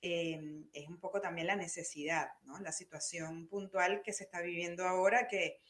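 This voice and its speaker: A woman's voice: lowish voice, steady tone, normal speed. A young adult woman with a Venezuelan Spanish accent, speaking as a podcast guest, thoughtful and hesitant with frequent fillers and restarts. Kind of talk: conversational interview.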